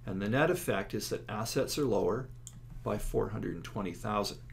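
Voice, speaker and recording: Male lecturer, lowish voice, explanatory delivery with stressed key words, faint background noise. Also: normal speed. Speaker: accounting instructor. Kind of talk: lecture explaining slides step by step. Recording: clear steady background noise.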